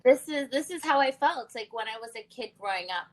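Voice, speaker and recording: Animated woman podcast host. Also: fairly high pitch, a little fast. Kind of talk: conversational interview.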